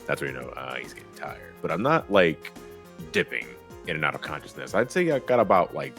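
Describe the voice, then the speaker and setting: A man with a very deep voice co-hosts a livestream in casual, teasing banter. His pitch swings widely as he talks, and there is faint music behind him. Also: wildly swooping pitch, a little fast, a bit bright. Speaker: livestream co-host.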